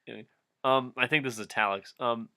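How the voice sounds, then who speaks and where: A man with a bright, forceful voice reads a story aloud in a mock-dramatic way. He keeps breaking off into casual, amused asides and stumbles back into the narration. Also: medium pitch, steady tone, quick pace, clean tone. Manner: breaks into giggles, mock-dramatic, self-interrupting. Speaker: reader of a fan fiction dramatic reading.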